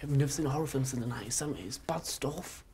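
A man's voice: mid-range voice, fast, a little mellow, a little hiss or hum, lightly acted. A young man playing a nervous, sarcastic literature graduate who worries aloud and needles his friend. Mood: sarcastic worried. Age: young adult. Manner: anxious, dry sarcastic jabs. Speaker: actor in amateur short film.

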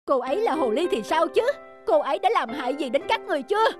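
Anguished cartoon lover. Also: male voice, very high-pitched, very animated, fast. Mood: reproachful. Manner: impassioned, pleading. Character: heartbroken strong young man defending his beloved. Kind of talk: melodramatic cartoon voice acting. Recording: background music under the dialogue.

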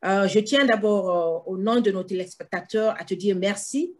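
A woman's voice: mid-range voice, normal speed. A woman in her thirties hosting a talk show over a video call from a room with bookshelves, reading her guest's bio with fillers and restarts.

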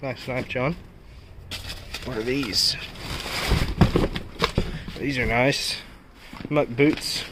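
A man with a mid-pitched voice talks slowly and casually, like a vlog host sorting through finds. His remarks come in short questions and exclamations. There is clear background noise with handling clicks. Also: fairly even intonation. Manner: short exclamations and questions, talking while rummaging. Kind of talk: casual unscripted unboxing commentary. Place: storage unit.

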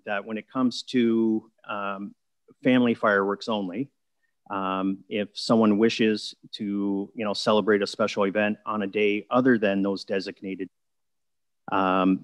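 A man with a lower voice presents a bylaw to council over a video call. He talks in a measured, procedural way, with many fillers and restarts. The sound is dry and close. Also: no echo. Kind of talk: formal council meeting presentation.

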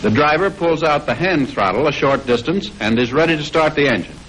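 American male narrator, 1940s instructional film, higher register, formal measured read, slightly noisy vintage soundtrack. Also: lively intonation, fairly bright tone.

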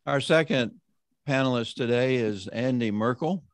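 Measured man hosting a video call. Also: medium pitch, no room sound, clean studio sound. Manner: measured, conversational, often slowing down. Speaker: host introducing panelists. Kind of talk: virtual roundtable introduction and prayer.

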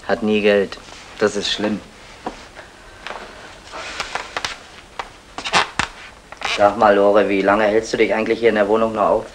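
An actor playing a wily small-time crook and meddling brother in an old German radio comedy, mock-formal and chummy. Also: male voice, fairly low pitch, monotone, brisk, noisy background, lightly acted. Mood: probing.

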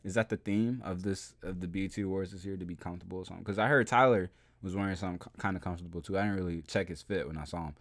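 A man's voice: sits low, rapid-fire, hardly any pauses. A young man casually reacting to a music performance, rambling and chatty. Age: young adult. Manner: chatty, rambling, frequent "you know what I'm saying". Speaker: reaction video host. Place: indoor room, webcam overlay on video.

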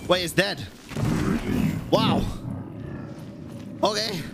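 A man's voice: sits high, very slow, vocal fry, background noise. A man in his thirties reacting casually and playfully to a game as a live streamer. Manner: exclamatory, playful. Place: small indoor streaming room. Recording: loud game music behind voice.

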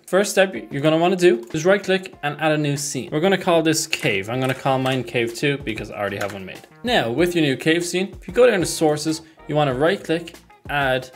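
Young man narrating a tutorial over music. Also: mid-range voice. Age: young adult. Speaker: tutorial host. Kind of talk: casual software tutorial narration. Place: small room at home, indoors. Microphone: desk microphone close in front.

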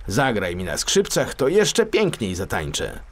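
A man's voice: fairly low pitch, huge pitch swings, measured pace. A man voicing a cheerful, self-assured talking pike who coaxes and commands, in a children's audiobook. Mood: amused, confident.

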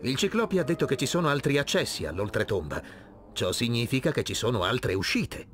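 An actor playing a resolute, wary war-hero admiral, grave and decisive, with a gravelly voice. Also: male voice, mid-range voice, very animated, a little fast, close to an everyday voice. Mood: determined. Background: background music.

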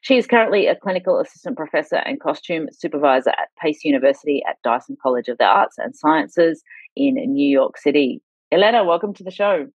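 A woman's voice: deep voice, animated, fairly quick, slightly rough, no room sound, dull audio. A woman podcast host reading a guest introduction and asking casual questions with fillers. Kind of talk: interview host.

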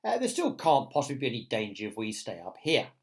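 A man's voice: medium pitch, huge pitch swings, quick pace. A man voicing a blustering male centipede in a children's story, scoffing but with hesitant nerves. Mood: nervous bluster.

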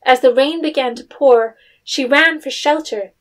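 A woman's voice: high voice, unhurried. A woman narrating a period story from a script, as in an audio drama.

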